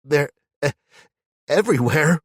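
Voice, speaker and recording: A male narrator playing a scared young gang follower, pleading and shaken. His voice is husky and climbs sharply with fear. The reading is dry and close. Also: medium pitch, expressive, fast, a bit bright, dry recording, voice pushed up. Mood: frightened, pleading. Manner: frightened, begging.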